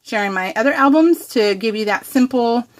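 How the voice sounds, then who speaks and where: A woman's voice: on the high side, lively intonation, moderate pace. An American woman chatting casually to viewers while crafting, in a bubbly, giggly, rambling, gushing way. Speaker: craft vlogger hosting a scrapbook process video.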